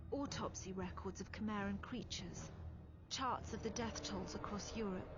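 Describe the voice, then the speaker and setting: A woman with a British accent playing a composed intelligence officer, narrating a war story in a measured, grave, formal way for a game. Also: medium pitch, natural voice. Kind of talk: scripted dramatic narration. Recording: dull lo-fi game audio, music behind. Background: loud background music.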